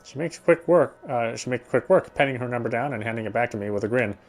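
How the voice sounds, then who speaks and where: A man's voice: medium pitch, fast talker, faint music behind. A man reading visual novel narration aloud as he plays. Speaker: let's player reading a game aloud.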